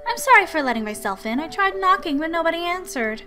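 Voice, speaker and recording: A woman's voice: very high voice, lively intonation, fast talker, sharp tone, faint music behind, voice pushed up, full character voice. A woman voicing an embarrassed young woman scholar in a visual novel, with formal, apologetic phrasing. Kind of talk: visual novel character acting.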